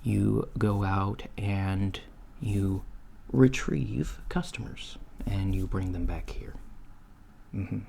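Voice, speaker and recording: A man acts out an apologetic, inexperienced male alien interviewer in an ASMR roleplay. He speaks softly and haltingly in a lowish, gravelly, mellow voice. The lo-fi recording has faint noise and keyboard typing. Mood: matter-of-fact, apologetic. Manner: hesitant, halting, mumbling asides. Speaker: job interviewer. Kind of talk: soft-spoken ASMR roleplay. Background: computer keyboard typing.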